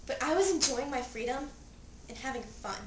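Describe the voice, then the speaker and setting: A woman's voice: high voice, lively intonation, moderate pace. A young woman performing a dramatic monologue as an anguished, pleading seventeen-year-old girl who has died, with theatrical delivery. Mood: tense, grim. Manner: theatrical, swinging from hushed telling to desperate cries. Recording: echoey room, distant mic, clear noise.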